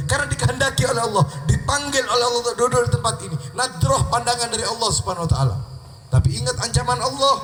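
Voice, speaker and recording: Hoarse preacher guy, really high voice, emphatic, roomy echo. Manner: emphatic, swinging pitch with Arabic recitation. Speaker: preacher leading a devotional gathering. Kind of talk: sermon. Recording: echoey venue with music behind.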